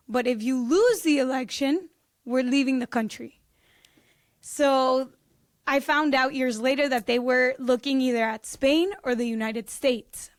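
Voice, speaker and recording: A woman's voice: high-pitched, no room sound, clean studio sound. A woman in her twenties from Peru, telling her story as a podcast guest in a bubbly, laughing way. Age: 20s. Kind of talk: casual interview chat.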